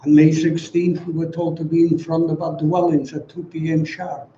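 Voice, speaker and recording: A man of about ninety with a Polish accent gives a prepared commemorative speech in a solemn, deliberate, formal way, with emphatic pauses. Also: fairly high pitch, flat delivery, dark tone. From Poland. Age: around 90. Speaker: guest speaker, Holocaust survivor giving testimony. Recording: echoey, dull, distant, faint noise.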